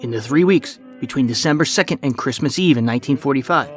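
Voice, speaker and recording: A man narrates a documentary podcast from a script. His mid-range voice is measured and clearly phrased, with faint music underneath. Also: mid-range voice. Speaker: podcast host.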